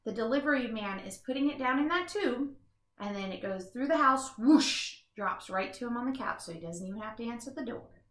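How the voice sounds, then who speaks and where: A woman reads a children's story aloud. Her voice is high and very lively in pitch, with dramatic pauses for young listeners. The room adds noticeable echo. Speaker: storybook reader. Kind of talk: expressive children's read-aloud.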